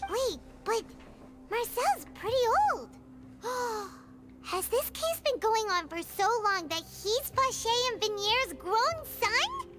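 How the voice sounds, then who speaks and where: A woman voicing a small, childlike, excitable fairy companion in a video game, speaking in exclamations. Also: very high voice, slow, heavily acted. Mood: puzzled. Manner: speaks of herself in third person, exclaiming. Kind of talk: video game character acting. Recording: game music behind the voice.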